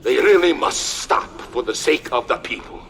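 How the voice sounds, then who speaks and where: An actor playing a stern Soviet general in a video game, speaking firmly like an official making a public statement. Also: male voice, medium pitch, expressive, normal speed, faint music behind, close to an everyday voice. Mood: indignant, pleading. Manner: firm, official, politician-like. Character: stern male Soviet general and occupation leader. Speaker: military leader giving interview. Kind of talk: scripted game acting.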